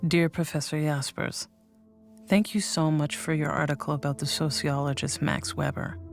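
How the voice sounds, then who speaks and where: A woman voicing a young woman scholar, reading formal letters in a measured, thoughtful way for a documentary. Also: low-pitched, flat delivery. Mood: firm. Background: faint music.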